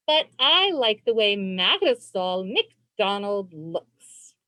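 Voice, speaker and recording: A woman reading a children's storybook aloud as host, phrasing expressively and deliberately for young listeners on a video call. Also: mid-range voice, big pitch swings, sharp tone, no room sound. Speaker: librarian and read-aloud host.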